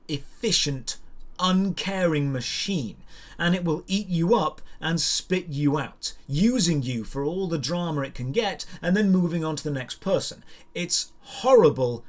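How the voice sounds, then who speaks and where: A man delivering scripted opinion commentary as a show host, in an emphatic, rhetorical way with deliberate stresses. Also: on the high side.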